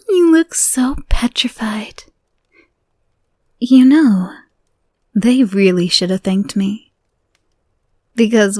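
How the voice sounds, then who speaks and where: A woman voicing an obsessive, murderous young woman who holds the listener captive, speaking softly and often in a whisper, swinging between menacing calm and frantic apology. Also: mid-range voice, dry recording, studio quality. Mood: sinister, teasing. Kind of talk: audio roleplay to a listener.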